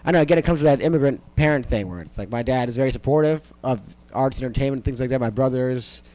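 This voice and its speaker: A man's voice: mid-range voice, very fast, mellow. A young man whose first language was Amharic, a comedian talking casually in an interview, rambling and profane with many fillers. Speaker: stand-up comedian, podcast guest.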